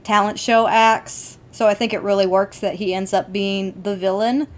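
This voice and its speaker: A woman's voice: mid-range voice, steady tone, normal speed. Enthusiastic woman chatting as a podcast co-host. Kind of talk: casual TV episode discussion.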